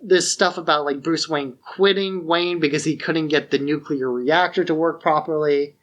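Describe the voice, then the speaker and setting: Slightly higher-voiced man, casual podcaster. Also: steady tone, moderate pace, fairly bright tone, dull audio. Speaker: podcast co-host. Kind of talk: casual film discussion.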